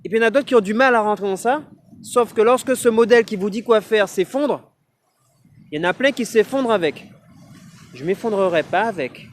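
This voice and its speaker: A man with a high voice speaks reflectively outdoors, pausing often and hunting for the right words. Faint wind and passing traffic sit behind him on the recording. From Martinique. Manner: reflective, searching for words with many fillers. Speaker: vlogger. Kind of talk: casual personal vlog monologue.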